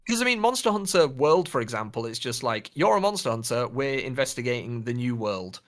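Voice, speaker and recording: Male podcast co-host, mid-pitched, informal chat about screen and gaming culture. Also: animated, brisk, fairly bright tone. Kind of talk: casual chat about films and games.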